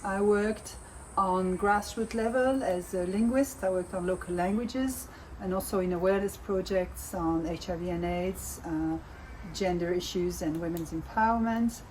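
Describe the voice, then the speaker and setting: A woman's voice: lowish voice, moderate pace, darkish tone, slight background noise, low-quality recording. A middle-aged woman from Belgium presenting research results as a project evaluator, speaking with many hesitations and restarts.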